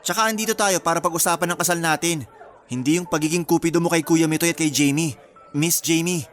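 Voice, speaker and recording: A man's voice: on the high side, fairly even intonation, fast talker, close to an everyday voice. A man acting a jealous, possessive young groom-to-be with a Filipino accent in a radio drama. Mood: irritated, jealous. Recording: music bed under the voice.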